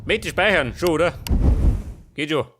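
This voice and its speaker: A man's voice: fairly high pitch, animated, unhurried, noisy background. A man with a Bavarian accent doing casual let's play commentary, muttering to himself in colloquial, sweary bursts. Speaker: let's player.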